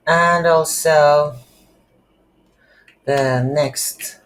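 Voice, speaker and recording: A tutorial instructor thinking aloud in a halting, unscripted way while demonstrating game development on a computer. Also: mid-range voice, steady tone, fairly bright tone. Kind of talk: unscripted screen-recorded software tutorial. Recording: background hiss, keyboard typing noise.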